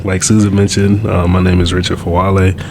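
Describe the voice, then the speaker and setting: A man in his twenties from Georgia, born in Nigeria, chatting as a podcast guest in a relaxed, easygoing way with many fillers. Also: low-pitched, brisk, creaky, slight background noise. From Georgia, USA, born in Nigeria. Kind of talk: casual conversation.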